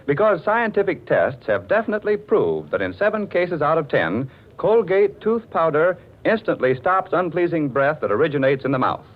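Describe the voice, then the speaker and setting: A man announcing and narrating in scripted 1940s radio style, theatrical and polished. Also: a little high. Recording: muffled, narrow-band 1940s radio recording.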